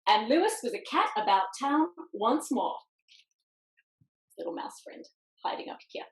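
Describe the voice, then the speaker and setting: A woman reading a picture book aloud to children over a video call, expressively, with dramatic pauses and little asides. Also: a little high, very animated. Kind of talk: animated children's storytime reading with quiet asides. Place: indoors, online video call in an echoey room. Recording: roomy echo on video call.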